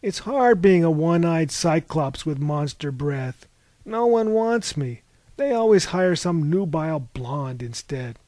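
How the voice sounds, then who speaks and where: A man's voice: a little high, moderate pace, few pauses, breathy edge, no echo. A man voicing a huge one-eyed Cyclops in a storybook, a bullying, roaring monster with a self-pitying streak. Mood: whining, protesting. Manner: roaring, growling demands.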